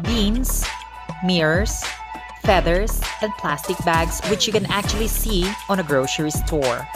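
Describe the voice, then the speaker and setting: An earnest woman narrates her runway look in a voice-over, steadily listing what it is made of. Her voice sits a little low. Music plays clearly behind her. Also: unhurried. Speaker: model contestant. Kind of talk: voice-over describing an outfit. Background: background music.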